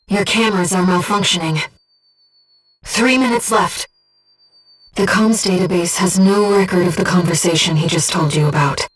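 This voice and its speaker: A gentle, bodiless female guide in a video game. She speaks in a mid-range voice with earnest, measured precision, like mission support for a pilot. The sound sits a little distant from the microphone. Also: medium pitch, husky. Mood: composed.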